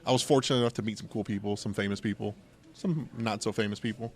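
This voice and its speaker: A man's voice: on the low side, fairly even intonation, fast, a little mellow. A middle-aged American man hosting a podcast, telling stories conversationally with plenty of digressions and asides. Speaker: podcast host. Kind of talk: casual storytelling and banter. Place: local game store.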